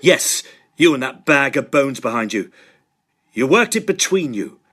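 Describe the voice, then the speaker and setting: A man's voice: mid-range voice, very animated, unhurried, fairly bright tone. An actor playing an intense, shaken young Victorian man in a radio drama, speaking with urgent, dramatic emphasis. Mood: angry, threatening.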